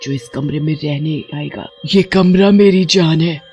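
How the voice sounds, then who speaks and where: A vengeful witch ghost from a horror cartoon, acted by a woman. She speaks with theatrical menace and a killer's cold intent. Soft music sits behind her. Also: sits low, unhurried. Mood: menacing. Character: vengeful murderous witch ghost haunting a ceiling fan. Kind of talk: animated horror story acting.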